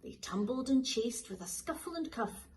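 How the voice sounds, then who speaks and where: A woman teacher reading a children's picture book aloud in a slow, lilting, expressive way. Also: on the high side, slight background noise, low-quality recording. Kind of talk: storybook read-aloud for children.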